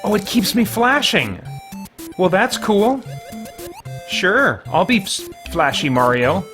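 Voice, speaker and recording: A man's voice: sits high, very animated, slow, over music. A man who hosts a gaming and faith channel, talking in a casual commentary style as if thinking aloud to himself. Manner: talks to himself while playing. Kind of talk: casual gameplay commentary and devotional talk.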